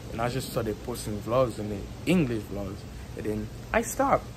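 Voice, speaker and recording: A man's voice: lowish voice, sing-song, moderate pace. A young man with a strong Haitian accent, chatting casually in a rambling, repetitive way, filming himself outdoors on a phone held at arm's length. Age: young adult. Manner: rambling, repetitive, filler-heavy talk with laughs. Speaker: YouTuber. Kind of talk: casual vlog chat. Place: outdoors on a patio by a house wall. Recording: phone recording with background noise.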